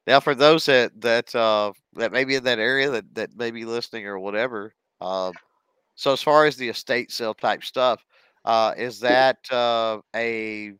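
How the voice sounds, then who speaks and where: A man's voice: medium pitch, moderate pace, fairly bright tone, clear, resonant tone, no echo. A man hosting a casual interview podcast, asking rambling questions in several parts.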